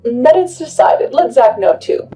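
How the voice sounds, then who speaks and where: A woman's voice: a little high, lively intonation, measured pace, mellow, slightly rough, soft background music, low-quality recording. Woman doing a sugary pushy mother-in-law. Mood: sweetly pushy. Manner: breezy, overbearing, sugary. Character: pushy middle-aged mother-in-law, sweetly insistent.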